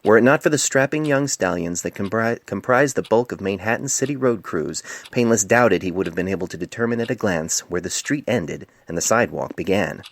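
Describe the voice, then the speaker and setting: Very deep-voiced male story narrator. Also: expressive, brisk, few pauses, no echo. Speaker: author narrating own story. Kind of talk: scripted fan fiction narration. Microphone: cell phone microphone.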